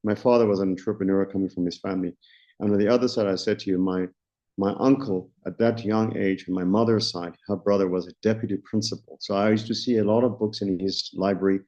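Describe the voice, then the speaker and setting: A South African man around sixty, a company founder answering interview questions in a measured way, often restarting as he searches for words. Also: on the low side, fairly even intonation, warm tone. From Johannesburg, South Africa. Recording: dull lo-fi recording.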